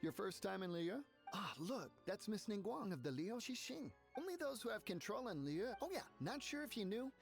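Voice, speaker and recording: Game townswoman: friendly local gossip, animated, some fry, faint music underneath. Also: medium pitch, moderate pace, few pauses. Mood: helpful. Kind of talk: video game voice acting.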